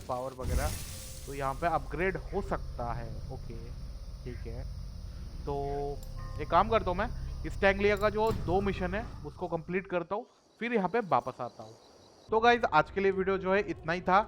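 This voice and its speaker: Fast-talking Indian man over game music. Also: fairly high pitch, a bit bright. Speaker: gaming video host. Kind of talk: casual gameplay commentary.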